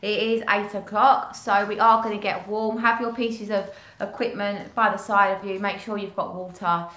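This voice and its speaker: Upbeat bright-voiced woman fitness instructor. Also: medium pitch, steady tone, normal speed. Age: young adult. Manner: upbeat, chatty, cueing moves while exercising. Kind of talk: live workout instruction. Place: small bright studio room. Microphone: far camera. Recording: echoey room, from a distance.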